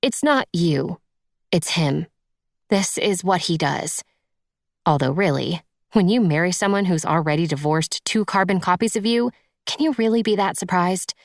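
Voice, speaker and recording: A woman's voice: lowish voice, expressive, normal speed, no room sound. Woman narrator as young stepdaughter, sardonic, awkwardly compassionate, placating, hesitant, audiobook dialogue, dry studio sound. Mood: awkward, puzzled.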